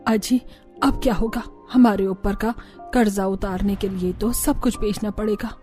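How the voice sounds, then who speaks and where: A woman's voice: medium pitch, fairly even intonation, a little slow, dark tone, soft background music, lightly acted. A woman playing a proud, blunt, worried middle-aged mother in a cartoon family drama. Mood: anxious.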